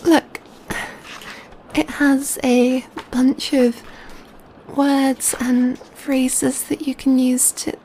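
Female performer as gentle, affectionate vampire lover for ASMR roleplay; mellow, hushed, high-pitched delivery, faint background noise. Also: little pitch movement, rough recording. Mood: gentle, encouraging. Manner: tender, slow, hushed, softly giggling delivery. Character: gentle, doting female vampire pampering her adopted catgirl lover. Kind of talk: soft-spoken ASMR roleplay.

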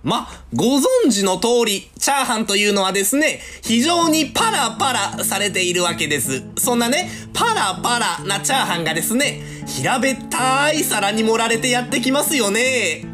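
A woman's voice: a little high, very animated, normal speed, few pauses, over music. A woman playing a talkative piece of celery that lectures like an earnest theorist in a comic radio drama. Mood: keen, explanatory. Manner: explanatory, punctuated lecturing with polite fillers.

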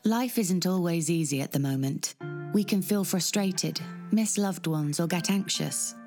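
A woman's voice: lowish voice, a little slow, a little mellow, faint music behind. A British woman reading a scripted public health advert as a voice-over.

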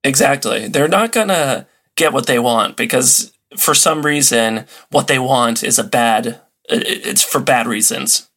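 Guy guesting on a podcast, chatty explainer, middle pitch, tells it like a story. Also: unhurried, hardly any pauses, a bit bright. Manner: conversational storytelling. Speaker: podcast guest, old-time radio enthusiast. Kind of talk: casual explanatory chat.

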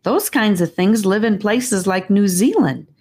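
A woman's voice: mid-range voice, animated, measured pace, a little mellow, husky, voice pushed up. A woman voicing a proud, knowledgeable young boy in an audiobook, explaining things in a precise, knowing manner.

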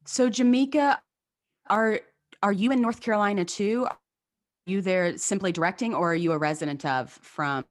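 A woman's voice: medium pitch, normal speed. A woman interviewer putting questions to a guest in a conversational style.

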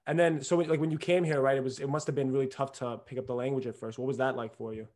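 A young man hosting a podcast at a quick clip, eager and chatty. He strings his questions together with frequent "I mean" fillers. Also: medium pitch, fairly even intonation, a little mellow. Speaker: podcast host. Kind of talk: casual interview chat.

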